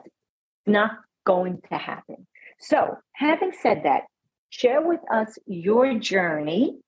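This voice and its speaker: A husky-voiced older woman with a Brooklyn accent, hosting a chatty podcast over a video call. She talks brashly and cuts in with quick asides. The sound is dull and muffled, as from a video call. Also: medium pitch, a little mellow. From Brooklyn, New York. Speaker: podcast host. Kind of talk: conversational interview. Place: home office with leather chair.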